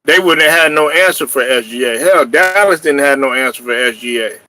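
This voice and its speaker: A man hosting a casual sports talk show, animated and trash-talking, often laughing and hollering. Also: medium pitch, fairly quick. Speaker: podcast host.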